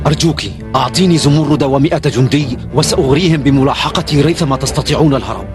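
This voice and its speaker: A man's voice: mid-range voice, very fast, music in the background, close to an everyday voice. A man voicing a loyal soldier ready to sacrifice himself for the fleet in a dubbed cartoon, earnest and devoted. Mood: pleading. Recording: background score under voice.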